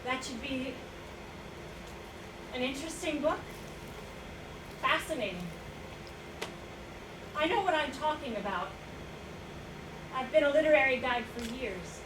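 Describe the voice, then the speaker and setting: An actress plays a brisk, opinionated woman in a stage reading. Her voice is high and matter-of-fact, picked up from afar with clear room noise. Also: animated. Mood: self-assured. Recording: echoey, distant mic, noisy room.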